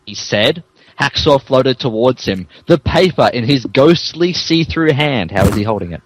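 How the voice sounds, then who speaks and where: A man's voice: medium pitch, expressive, normal speed, no room sound, poor audio. A man narrating fan fiction for a comedy podcast, adding wry asides as he reads.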